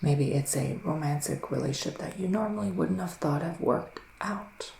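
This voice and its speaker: A man playing a gentle psychic witch in an ASMR roleplay, speaking in a soft, musing whisper with long pauses. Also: on the high side, creaky, roomy sound, a little hiss or hum. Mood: hushed, musing. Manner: slow, hushed, musing, with drawn-out pauses. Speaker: ASMR performer giving a psychic reading.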